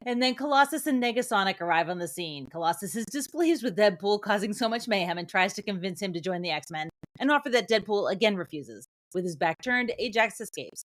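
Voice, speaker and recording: A woman's voice: mid-range voice, expressive, a little fast, few pauses, dry recording. A woman co-hosting a podcast, chatting casually and recapping films. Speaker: podcast co-host. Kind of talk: casual film review chat with plot recap.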